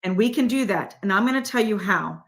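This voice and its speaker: A middle-aged woman with a mid-pitched voice talks as an interview guest. She presses her points hard, throws rhetorical questions at the listener and speaks to viewers directly. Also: expressive, a little fast. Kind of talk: emphatic political interview talk.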